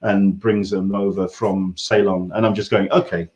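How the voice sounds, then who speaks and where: A man's voice: sits low, little pitch movement, normal speed, a little mellow. A man with an English accent hosting a casual interview, telling anecdotes in a rambling, conversational way. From England. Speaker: host interviewer. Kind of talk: casual livestream interview chat.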